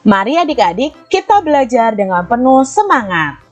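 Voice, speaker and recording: A woman's voice: a little high, big pitch swings, normal speed, highs cut off, faint music behind. A woman with an Indonesian accent hosting an online primary-school lesson, speaking to young children in an encouraging, explanatory way with plenty of questions.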